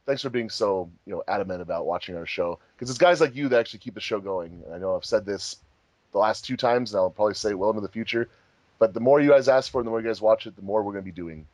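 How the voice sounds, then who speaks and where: A man's voice: on the low side, fast talker, a little mellow, no room sound. A man hosting a casual group chat in a jokey, rambling, giggly way. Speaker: host of a fandub group commentary.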